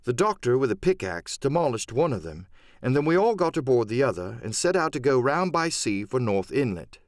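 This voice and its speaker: A man narrating a classic adventure audiobook in an expressive, dramatic storytelling manner. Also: mid-range voice, brisk, dry recording, clean studio sound. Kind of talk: dramatic scripted literary narration.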